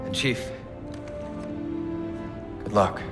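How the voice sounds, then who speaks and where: A man playing an earnest, loyal military officer in a video game, with a measured delivery. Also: over loud music. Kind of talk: video game cutscene acting.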